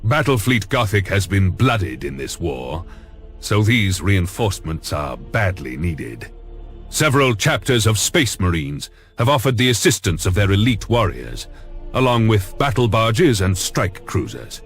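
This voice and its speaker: A grave low-voiced space navy admiral, formal and authoritative, game cutscene with music behind. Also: male voice, very animated, unhurried, fairly bright tone, heavily acted. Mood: solemn. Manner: formal, authoritative, solemn oratory. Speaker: fleet commander. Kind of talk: scripted dramatic game cutscene acting.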